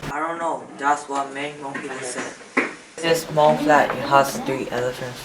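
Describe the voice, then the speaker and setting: A young man plays a student presenting to his class, who answers challenges with plain, uncertain phrasing. His voice is mid-pitched and sounds distant, with steady noise behind it. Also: normal speed, close to an everyday voice. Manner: hesitant, plain-spoken, broken phrasing. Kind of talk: amateur student acting.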